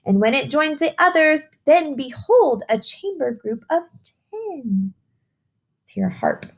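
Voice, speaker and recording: A woman's voice: sits high, huge pitch swings, slow pace. A woman reading a picture book aloud to young children like a teacher, encouraging them with simple questions and chatty explanations.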